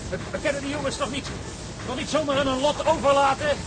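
A man's voice: very high voice, flat delivery, fast talker. An actor playing an agitated, loyal seaman in a radio drama, insistent and stammering over repeated words. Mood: pleading. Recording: engine and sea noise behind.

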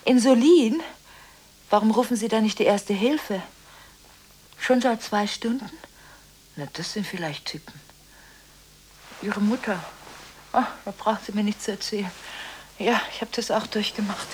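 An actress playing a distraught, contradictory woman in a radio drama, talking on the phone in a halting, agitated way with repeated words and broken-off phrases. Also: mid-range voice, darkish tone, a little hiss or hum, lightly acted, low-quality recording. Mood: nervous, chatty. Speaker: lead actress in a radio play. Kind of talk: 1980s German radio drama acting, telephone monologue.

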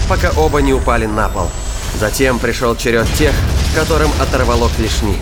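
A man reading scripted narration of a science fiction thriller as a dramatic, deliberate storyteller. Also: medium pitch. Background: music and battle sound effects.

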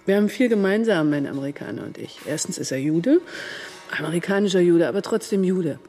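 Mellow, cool, worldly woman in radio drama. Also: on the low side, animated, a little fast, faint music behind. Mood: knowing, matter-of-fact. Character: worldly, shrewd German woman, postwar underground agent. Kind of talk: radio crime drama acting.

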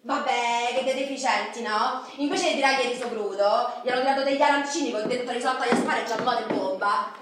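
An actress playing a frustrated, naive and dreamy working-class Roman wife in a radio play, rambling colloquially with a Roman accent. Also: female voice, fairly high pitch, quick pace, a bit bright, lightly acted. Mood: exasperated. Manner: colloquial, rambling, tags phrases with "no?" and "eh". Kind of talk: theatrical radio drama monologue in dialect. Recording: roomy, echoing, distant mic, faint noise.

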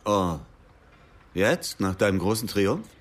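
A man's voice: on the low side, sing-song, slow, heavily acted. An actor playing a reflective man who narrates and talks with old comrades in a German radio drama, dry and understated. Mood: wry, friendly.

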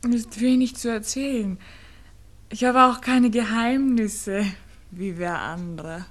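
Soft, slow-spoken Viennese sweetheart, high-voiced. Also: female voice. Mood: modest, wistful. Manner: soft, tender, often hushed. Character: shy, devoted young Viennese sweetheart, gentle and wistful. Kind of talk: German-language radio drama acting.

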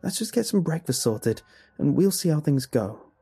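A man voicing a concerned, calming wife and mother in a narrated story. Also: mid-range voice, moderate pace, creaky, breathy edge, faint music behind, pitched above the performer's own voice, exaggerated voice. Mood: soothing.

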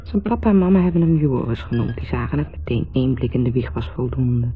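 An actress playing a mocking, bitter, lonely wife in a sardonic, theatrical radio drama monologue. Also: female voice, extremely low pitch, fairly quick. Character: mocking, bitter, lonely wife musing about her husband. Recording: thin, phone-like 1970s radio recording with music.

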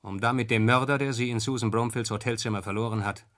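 An actor playing a cool, determined newspaper reporter turned sleuth in a German radio crime drama, brisk and self-assured. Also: male voice, medium pitch, fairly even intonation, fairly bright tone, natural voice.

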